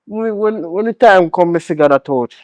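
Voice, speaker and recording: A man's voice: high voice, animated, normal speed. An actor playing a defiant, evasive young man, speaking slangy Jamaican English in a naturalistic, dismissive, argumentative way. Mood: defensive.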